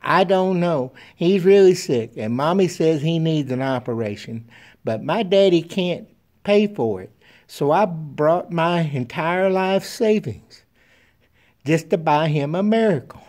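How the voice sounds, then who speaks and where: Earnest, stammering little girl, pitched high and pushed loud, as a man puts her on. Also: animated, unhurried. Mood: pleading. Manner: pleading, stammering. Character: small girl, earnest and pleading.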